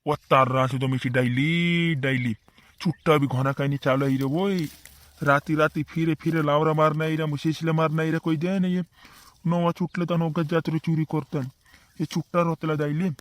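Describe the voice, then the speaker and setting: A man's voice: a little high, level tone, moderate pace, close to an everyday voice. A man voicing a practical, insistent villager in a comedy cartoon, with a rural western Madhya Pradesh accent and repetitive lines. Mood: grumbling.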